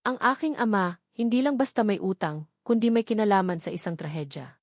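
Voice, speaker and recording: A woman's voice: medium pitch, lively intonation, brisk, no room sound, clean studio sound. A woman reading a scripted first-person romance drama as its narrator.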